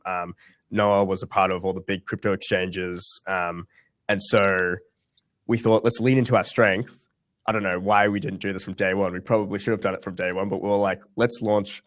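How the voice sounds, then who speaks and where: Young aussie guy, low-ish voice, lots of ums, dry close mic. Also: a bit bright, dry recording. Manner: casual, many ums and restarts. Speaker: guest founder. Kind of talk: webinar interview answer.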